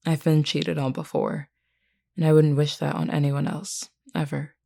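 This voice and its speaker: Woman playing a low, creaky, flirty werewolf woman. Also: little pitch movement, mellow. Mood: frank, firm. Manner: unhurried, teasing yet earnest, deliberate. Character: flirty, frank, self-assured female werewolf of a pack. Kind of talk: intimate scripted audio roleplay addressed to the listener.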